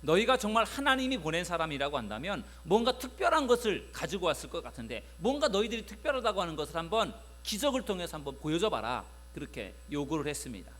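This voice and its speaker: A male pastor giving a Sunday sermon in a conversational way, often pausing on filler sounds while he retells a Bible story to the congregation. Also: on the high side, sing-song, a bit bright.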